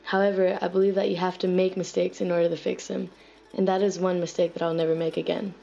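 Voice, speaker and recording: A young American woman narrates a personal essay in a reflective, earnest way. Her voice sits a little low with a gravelly fry at the edges. Music plays softly behind her. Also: flat delivery, quick pace. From United States. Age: young adult. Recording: dull lo-fi voice-over recording. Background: music playing faintly behind the voice.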